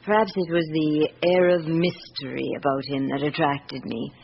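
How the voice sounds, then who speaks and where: An actress with a British accent playing a guarded, wistful middle-aged wife in a radio drama, composed and reflective. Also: female voice, low-pitched, moderate pace, vocal fry. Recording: dull, noisy old radio recording.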